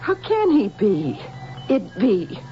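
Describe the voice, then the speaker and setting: An actress playing an innocent, emotional young woman in a radio drama, with a halting, wondering delivery. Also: on the high side, huge pitch swings, a little hiss or hum, heavily acted. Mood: distressed. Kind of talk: 1970s American radio drama acting. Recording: dull old radio recording with music.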